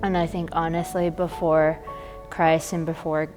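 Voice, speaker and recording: A woman's voice: deep voice, level tone, a little slow, over music. A young woman, a college student, telling her faith story casually with hesitations. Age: young adult. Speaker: student giving testimony. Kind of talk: personal testimony.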